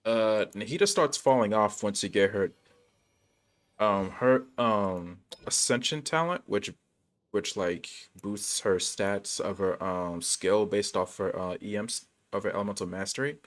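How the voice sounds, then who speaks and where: A man's voice: lowish voice, unhurried. A man chatting casually on a gaming livestream in laid-back, slangy bro talk. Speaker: game livestreamer. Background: game music.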